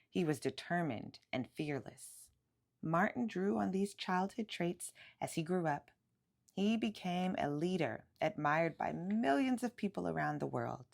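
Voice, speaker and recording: A woman reading a children's picture book aloud in an animated, emphatic storytelling way, drawing out words for effect. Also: medium pitch, creaky.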